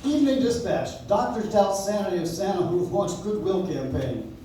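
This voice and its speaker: An actor playing a shrewd, confident defense lawyer in a stage radio play, speaking with theatrical assurance. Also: male voice, sits high, animated, normal speed, dark tone. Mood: wry, confident. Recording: echoey, distant, noisy audience-member recording.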